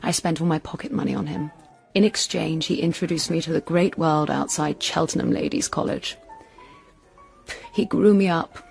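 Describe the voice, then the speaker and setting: Gravelly-edged, dreamy romantic young woman. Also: low voice, moderate pace, a little mellow. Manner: dreamy, storytelling, dramatic. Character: romantic, wistful young woman, stage performer with smuggler brother. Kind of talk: intimate radio drama acting. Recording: radio drama with music underneath.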